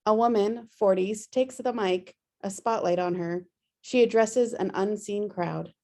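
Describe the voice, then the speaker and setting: Mellow-voiced woman presenting a script read. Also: mid-range voice, unhurried. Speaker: writer and host, stage directions reader. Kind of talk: informal introduction and read stage directions.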